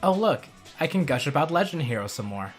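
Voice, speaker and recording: A man's voice: on the high side, big pitch swings, fairly quick, over music. A man giving scripted countdown commentary as a host, with wry, jokey asides. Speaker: host of a countdown review video.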